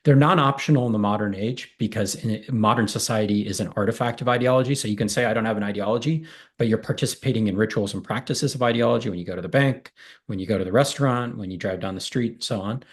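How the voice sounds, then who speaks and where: Husky-voiced man explaining ideas on a video call. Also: on the low side, quick pace. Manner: thoughtful, explanatory, builds long lists. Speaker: guest, political science professor. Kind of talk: academic interview on a video call. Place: small home room with bare wall. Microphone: earphones with cable mic on a video call.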